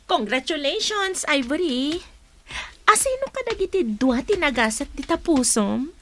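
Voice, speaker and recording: Woman acting high-pitched, teasing office colleague. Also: sing-song, a little slow, a bit bright, a little hiss or hum, full character voice. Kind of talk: Ilocano radio drama acting.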